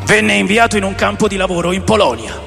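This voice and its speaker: A man playing a world-weary rock musician telling his wild memories in a radio drama, with a gravelly, creaky voice. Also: sits high, fast talker, over loud music. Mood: reminiscing. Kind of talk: dramatised memoir monologue in a radio play.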